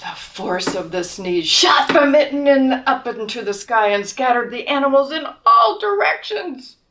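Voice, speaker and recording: Woman storybook reader, highish voice with very lively intonation, expressive delivery for children, recorded at a distance from the mic. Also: unhurried. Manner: expressive, asks listeners questions, drops to whispers. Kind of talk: children's picture book read-aloud.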